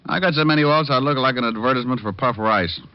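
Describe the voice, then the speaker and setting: An actor playing a wisecracking, hard-boiled private detective who also narrates, in a 1940s radio drama. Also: male voice, mid-range voice, fast talker, clear, resonant tone, close to an everyday voice. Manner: dry sarcastic quips. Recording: dull vintage radio broadcast.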